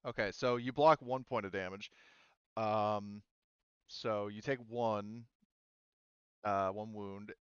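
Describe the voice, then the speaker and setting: A man with a lowish, very clear voice runs a tabletop roleplaying game. He talks through rules and rulings haltingly, working things out aloud. The sound is dry and slightly muffled. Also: steady tone, fairly bright tone, dry recording. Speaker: game master.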